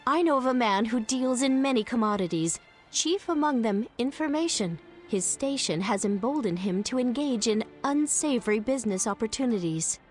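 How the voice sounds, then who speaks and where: A woman voicing a calm, formal noble ally in a game, speaking in a measured way. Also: a little high, faint music behind, close to an everyday voice.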